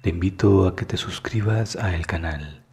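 A man narrating a scripted guided meditation in a calm, soothing manner that is hushed at times. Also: sits low, moderate pace, gravelly, slightly rough.

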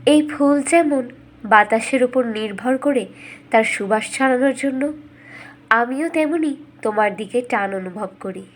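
A woman's voice: on the high side, unhurried. A woman storyteller voicing a young fairytale princess, tender and proud, who speaks in poetic, formal declarations.

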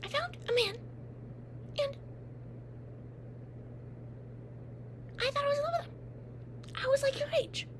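Young woman doing a bratty jealous vampire girl, super high voice, snippy and dramatic, noisy webcam audio. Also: brisk. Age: young adult. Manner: blunt, sarcastic, dramatic, with whispered asides. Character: haughty jealous female teen vampire. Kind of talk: comedic roleplay to the listener. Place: dim bedroom, indoors. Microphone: webcam at close range.

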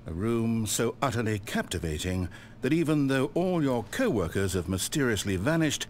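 A man's voice: mid-range voice, very animated, normal speed, a little hiss or hum, close to an everyday voice. A man playing an omniscient, sardonic video game narrator, articulate and condescending, toying cruelly with his character. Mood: wry, storybook calm. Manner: theatrical, mocking, condescending, articulate.

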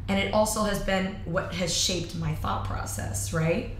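Hoarse, energetic woman coach. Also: fairly low pitch, unhurried, echoey room, slight background noise, low-quality recording. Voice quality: loud, projecting voice. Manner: energetic, upbeat, many fillers. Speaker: fitness coach. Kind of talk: casual interview chat. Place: bright studio room with sofa.

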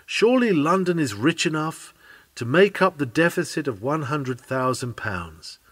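A man's voice: fairly high pitch, big pitch swings, measured pace, a bit bright, dry recording. A man reading a sermon aloud in an earnest, oratorical manner. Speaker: sermon reader.

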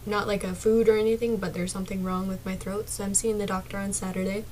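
A young woman streaming a game from her small dark bedroom, chatting casually to viewers with a sore, partly lost voice and drifting into mumbles. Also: mid-range voice, little pitch movement, quick pace, a little hiss or hum, rough recording. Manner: soft-spoken, drifts into whispers and mumbles. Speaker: gaming streamer.

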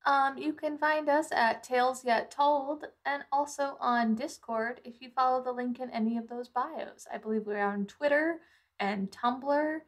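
A woman's voice: sits high, a little slow. A woman who plays on a game podcast, chatting casually. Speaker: podcast player. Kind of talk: casual chat.

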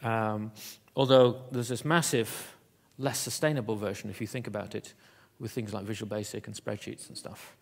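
A man giving a conference keynote in an auditorium, talking in a halting way with many fillers and restarts. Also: on the low side, steady tone, gravelly. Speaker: keynote speaker.

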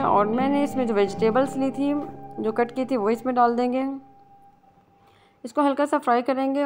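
A woman giving a casual step-by-step recipe voice-over as a cooking channel host, mixing English words into her talk. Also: a little high. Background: guitar music.

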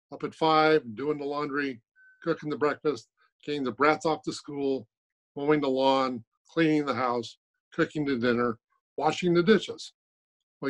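A man's voice: a little high, natural voice. An older man playing a blunt, matter-of-fact male colleague in an old-time radio comedy. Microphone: phone on video call.

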